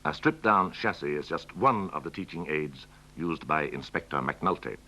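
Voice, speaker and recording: A man's voice: a bass voice, expressive, normal speed, bright, forceful tone. A man reading scripted narration for a 1960s training film, on an old film soundtrack. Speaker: documentary narrator. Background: music.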